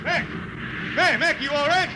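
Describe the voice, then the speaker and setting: High-voiced folksy old blind spaceman. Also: measured pace. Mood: urgent. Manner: folksy drawl with slangy, dropped grammar. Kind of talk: 1950s radio drama acting. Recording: noisy old 1950 radio recording, loud rumble.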